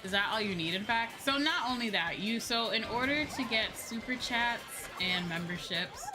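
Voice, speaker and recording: A woman livestreamer chatting casually while gaming, in an informal, conversational way with emphatic repetition. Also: lowish voice. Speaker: livestreamer, VTuber. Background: background music.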